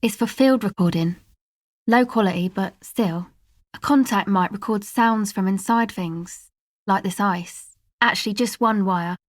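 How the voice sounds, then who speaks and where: A woman playing a laid-back, sardonic young woman in a video game, speaking in a casual, slangy, deadpan way. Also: medium pitch, measured pace, no room sound, clean studio sound, lightly acted. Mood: matter-of-fact. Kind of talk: video game character dialogue.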